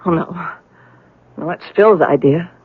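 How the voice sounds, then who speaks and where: Husky, bitter young woman. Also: fairly low pitch, a little slow, dry recording. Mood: bitter regret. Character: troubled, guilt-ridden young woman, bitter and resigned. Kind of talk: 1970s radio drama acting. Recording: lo-fi 1970s radio broadcast.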